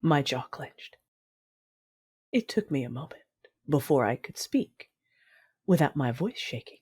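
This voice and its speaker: A woman's voice: sits low, lively intonation, unhurried, a little mellow, slightly rough, no echo, clean studio sound, heavily acted. An actress playing a hardened, fiercely loving warrior woman who narrates an audio drama in the first person with solemn intensity. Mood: choked grief.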